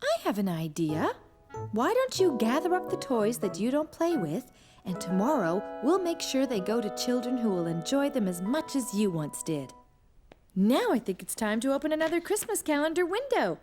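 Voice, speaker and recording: A woman playing a gentle, encouraging young mother who patiently explains things to small children in a kids' cartoon. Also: a little high, big pitch swings, normal speed, over music. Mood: gentle explaining.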